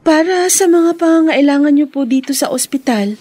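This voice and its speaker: Timid, devoted daughter played by a woman, high voice, Filipino accent, hesitant and pleading, radio drama. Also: normal speed, a little mellow, no echo, natural voice. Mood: apologetic, sorrowful. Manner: hesitant, respectful, tearful pleading. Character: meek, guilt-ridden, devoted young daughter. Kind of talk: Philippine radio drama acting.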